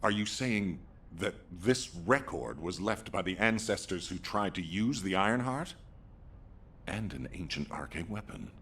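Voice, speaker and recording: An actor playing a composed, authoritative military commander in a video game, giving measured orders. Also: male voice, animated, fairly bright tone, full character voice. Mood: questioning, serious. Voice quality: husky, deep. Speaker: actor voicing a game character. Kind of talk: scripted game dialogue.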